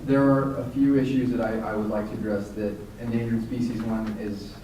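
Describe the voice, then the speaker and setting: A young man running for Congress answers audience questions at a luncheon, earnest and halting, with many fillers. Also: on the low side, little pitch movement, mellow. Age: young adult. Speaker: congressional candidate. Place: banquet room at a luncheon. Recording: echoey room, from far off, noisy.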